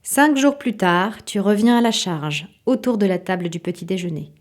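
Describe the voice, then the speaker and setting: A woman's voice: mid-range voice, animated, normal speed, a crowd in the background. A woman narrating a literary audio fiction in the second person.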